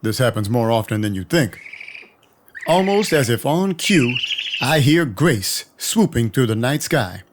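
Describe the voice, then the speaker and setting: An actor playing a world-weary but compassionate vampire detective, narrating an audio drama in a dry, conversational first person. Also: male voice, medium pitch, big pitch swings, unhurried, background noise. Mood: weary, brooding. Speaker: narrator and lead.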